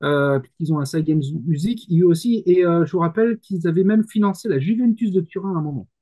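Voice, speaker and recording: A man explaining things as a panelist in casual chat, halting often to search for words. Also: sits high, dark tone. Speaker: panelist commenting on the industry.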